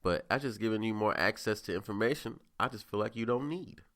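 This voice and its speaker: A man's voice: lowish voice, brisk, fairly bright tone. A man in his twenties hosting a casual solo podcast, congested and sniffling, chatting loosely with rambling asides. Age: 20s. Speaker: podcast host.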